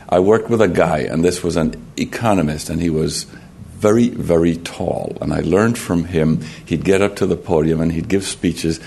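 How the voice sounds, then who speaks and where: A man with a Jamaican accent giving a formal talk at a conference podium, measured and halting, often clearing his throat and restarting. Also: deep voice, mellow, slight background noise. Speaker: conference speaker. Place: conference hall.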